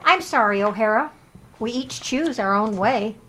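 A woman's voice: fairly high pitch, normal speed, a bit bright, rough recording. A woman playing a cool, defiant traitor in a 1950s radio drama, with theatrical, insistent delivery. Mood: cool defiance. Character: cool, defiant female traitor in league with villains.

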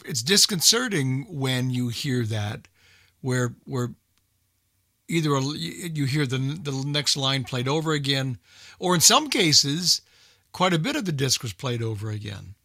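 A man talks casually with a bright, forceful voice at a medium pitch. The sound is dry, with very little room in it. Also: animated, a little slow, dry recording. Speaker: old radio hobbyist who dubs discs. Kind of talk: casual chat.

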